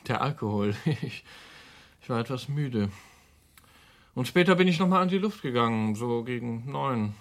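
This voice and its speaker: A man plays a young impostor in a radio crime play. He speaks at a medium pitch, guarded and hesitant, keeping up an obliging, polite front. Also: animated, normal speed, natural voice. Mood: guarded, evasive. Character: evasive young American man posing as another, outwardly obliging. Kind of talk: German radio crime drama acting.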